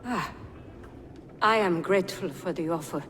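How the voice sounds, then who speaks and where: A humble, polite townswoman in a video game, her voice on the low side. She speaks with reserved gratitude in long reverb and clear noise. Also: unhurried, fairly bright tone. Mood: apologetic, grateful. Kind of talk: video game cutscene acting.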